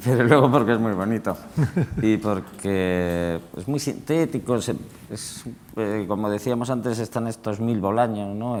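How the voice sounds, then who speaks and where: A man, a writer on a discussion panel, soft-spoken and trailing off at times. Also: low-pitched. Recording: reverberant hall.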